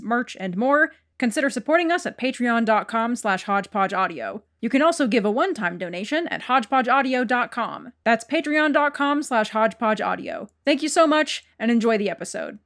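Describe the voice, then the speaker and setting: A woman with a slightly high voice acts as podcast host. She reads scripted announcements and thanks to the audience. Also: fairly bright tone.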